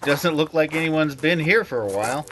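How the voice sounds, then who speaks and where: A man playing a lost hiker in a radio drama, casual and sheepish, over clear background noise. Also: fairly high pitch, moderate pace, fairly bright tone, natural voice. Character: James, lost male hiker. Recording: noisy outdoor track.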